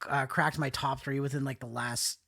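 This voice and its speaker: A man's voice: mid-range voice, flat delivery, normal speed, vocal fry, bright, forceful tone. A man with a nasal voice hosting a casual music chat in an informal, jokey, slangy way. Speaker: podcast host discussing music albums.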